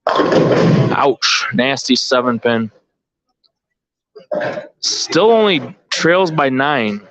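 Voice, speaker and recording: A man's voice: medium pitch, animated, very slow, a bit bright, no echo. A man giving casual, off-the-cuff live sports commentary, informally thinking aloud as he follows the play. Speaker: bowling tournament commentator and organizer. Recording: dull, lo-fi live stream audio.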